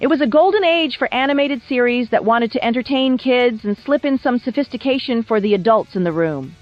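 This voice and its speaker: A woman's voice: on the high side, expressive, a little fast, dry recording, muffled recording. A woman reading scripted documentary narration in a polished, wry storytelling manner over music. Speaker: video essay narrator.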